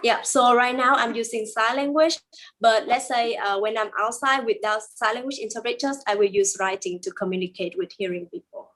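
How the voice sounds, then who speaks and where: Woman interpreter, halting and higher-pitched. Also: a bit bright. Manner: halting, many pauses and fillers, plain careful phrasing. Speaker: sign language interpreter voicing a deaf guest. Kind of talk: live interpreted interview answers.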